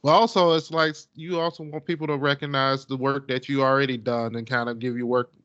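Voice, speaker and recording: A man's voice: medium pitch, fairly even intonation, fairly quick, a bit bright, dry recording. Laid-back podcast host man. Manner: loose, many "like"s and "stuff like that". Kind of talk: casual interview questions. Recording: dull lo-fi sound.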